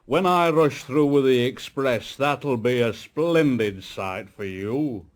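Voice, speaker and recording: A man's voice: medium pitch, slow, lightly acted. A man voicing a big, proud male engine who huffs and speaks pompously in a storybook reading. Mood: boastful. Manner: pompous, huffing.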